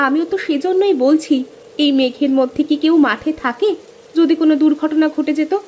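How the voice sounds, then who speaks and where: A woman's voice: sits high, brisk, darkish tone, muffled recording, slight background noise, lightly acted. A woman voicing a devoted, anxious farmer's wife in a village cartoon. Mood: worried. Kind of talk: cartoon dialogue acting.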